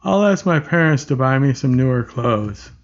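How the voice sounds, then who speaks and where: A man voicing a large, gentle, childlike man who speaks eagerly and innocently, a bit higher than his own voice. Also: lively intonation, moderate pace, highs cut off, close to an everyday voice.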